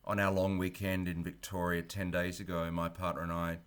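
A man's voice: low voice, completely flat delivery, a little fast, fairly bright tone, smooth. A man, co-hosting a podcast. He sounds nasal and congested, and tells stories in a candid, wry way. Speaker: podcast co-host. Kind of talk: casual conversational interview.

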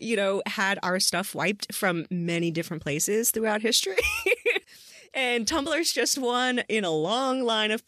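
A woman's voice: on the low side, a bit bright, no echo, clean studio sound. A woman hosting a podcast, chatting casually and enthusiastically with a guest as she interviews. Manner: effusive, enthusiastic.

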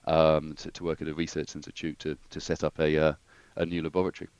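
A man's voice: very deep voice, little pitch movement, quick pace, a little mellow, clear, resonant tone, no room sound. A man, a guest engineer, talking through his career in a hesitant, thoughtful way with many restarts. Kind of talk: casual interview conversation.

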